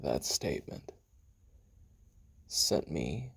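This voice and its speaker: Brooding assassin for a confessional audio drama, male actor: rock-bottom male pitch, heavy vocal fry, halting and profane delivery. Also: sing-song, fairly bright tone, heavily acted. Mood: brooding, tense. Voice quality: very deep, gravelly, husky. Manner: halting, casual, profane, with sighs and trailing pauses. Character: brooding, hot-tempered male assassin recording his memoirs. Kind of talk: audio roleplay monologue, confessional reminiscing.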